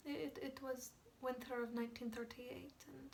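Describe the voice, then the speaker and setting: High female voice, actress playing guilt-ridden Polish woman, Polish accent, halting film-drama confession, whispery, fragile, distant mic with faint noise. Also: flat delivery. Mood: bitter, confessional. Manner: halting, stammering, breathy whispers. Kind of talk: dramatic film monologue, amateur.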